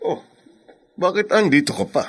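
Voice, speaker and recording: Friendly Filipino office boss. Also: male voice, sits high, moderate pace, gravelly, a bit bright, husky, heavily acted. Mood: friendly, teasing. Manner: easygoing, teasing. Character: friendly, joking married male office boss. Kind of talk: Filipino radio drama acting.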